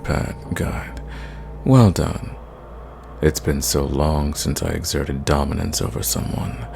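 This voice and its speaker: A man playing an ancient, sadistic, condescending vampire in an ASMR roleplay, speaking in a theatrical, measured way and drawing out his words. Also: extremely low pitch, vocal fry, exaggerated voice. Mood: hungry, dominant.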